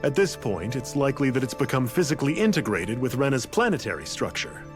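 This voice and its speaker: A man plays an eccentric scientist in a game cutscene. His pitch swoops freely as he lectures, theatrical yet casually detached. Music plays softly behind him. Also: big pitch swings, quick pace, natural voice. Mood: blithe, detached. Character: eccentric, blithe male scientist, coolly explaining a grand scheme. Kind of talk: scripted video game voice acting.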